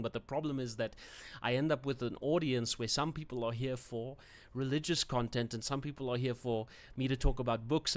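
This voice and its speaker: A young adult man with a South African accent and Afrikaans as his first language, hosting a casual livestream and rambling between topics with fillers and self-corrections. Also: medium pitch. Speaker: channel host.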